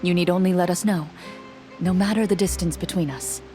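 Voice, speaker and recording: A woman's voice: fairly low pitch, a little fast, mellow, soft background music, natural voice. A woman playing a composed, formal, courteous figure of authority in an anime game.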